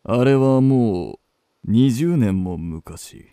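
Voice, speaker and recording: An actor playing an intense old-time kabuki star confessing forbidden love in a period radio drama, with archaic, theatrical phrasing. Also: male voice, mid-range voice, expressive, dark tone, clear, resonant tone, dry recording, studio quality. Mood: ardent confession. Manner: archaic, theatrical, drawn-out delivery.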